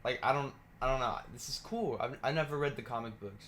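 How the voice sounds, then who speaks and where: A man's voice: medium pitch, brisk, a bit bright, a little hiss or hum. A man giving casual reaction commentary as a video host, talking in loose slang with some swearing.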